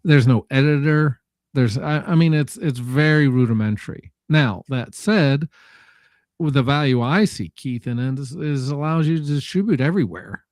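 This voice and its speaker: A man in a casual tech discussion, speaking haltingly and correcting himself as he thinks aloud. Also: mid-range voice, a little mellow, dry recording, studio quality.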